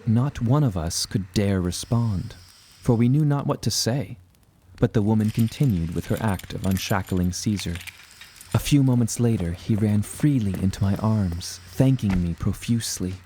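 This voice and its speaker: A man's voice: on the low side, normal speed, mellow, slight background noise, lightly acted. An actor playing an earnest young male adventurer, narrating his journey in solemn, old-fashioned storytelling. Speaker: first-person narrator. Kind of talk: scripted dramatic storytelling with old-fashioned diction.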